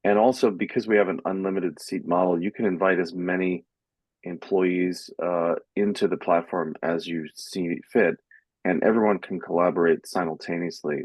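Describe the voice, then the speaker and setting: A low-voiced man explains a product in an unscripted webinar style. He speaks haltingly, repeating small words, and the sound is dry with very little room. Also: darkish tone, husky, no echo, poor audio. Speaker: software company presenter in a webinar.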